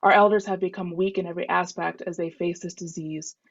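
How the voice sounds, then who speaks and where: A woman's voice: fairly low pitch, steady tone, a little fast, gravelly. A woman reading a report aloud on a dull, muffled video call, hesitant over unfamiliar words. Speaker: reader in a group reading.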